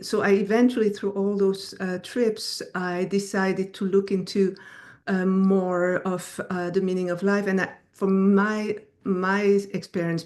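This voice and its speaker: Middle-aged female guest, native French speaker with Americanized French accent. Even, little-varied pitch, halting personal storytelling. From Belgium. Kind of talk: personal storytelling in an online interview.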